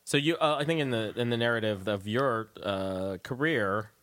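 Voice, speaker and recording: A man's voice: mid-range voice, normal speed, fairly bright tone, no echo. A man hosting a radio show, chatting casually with a guest in a rambling, tangential way. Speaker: radio show host and interviewer. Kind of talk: casual interview chat.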